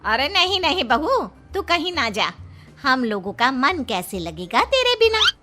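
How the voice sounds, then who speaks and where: A woman's voice: high-pitched, very animated, a little slow, over music. A woman voicing a kindly, affectionate neighbour aunty in a Hindi cartoon story. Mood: pleading.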